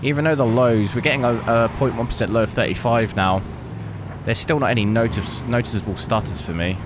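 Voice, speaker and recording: A man with a hoarse, lost voice, hosting a PC gaming channel and commenting casually while he plays, thinking aloud. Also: mid-range voice, brisk, noisy background. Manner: relaxed, rambling, thinking aloud. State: hoarse, losing his voice. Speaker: PC gaming benchmark channel host.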